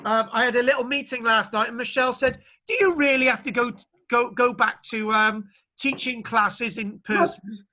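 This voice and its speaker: A man's voice: very high voice, fairly even intonation, moderate pace, sharp tone. A man lecturing an online archaeology class, chatty and jokey, rambling a little as he talks. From Britain. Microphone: video call.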